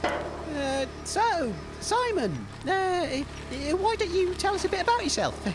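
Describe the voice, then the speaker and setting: Bumbling naive DJ, high pitch, huge swoops, fussy, hesitant, comic audio drama. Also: male voice, big pitch swings, unhurried, heavily acted. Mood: puzzled, hesitant. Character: prim, timid, naive, bumbling male radio DJ. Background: music bed.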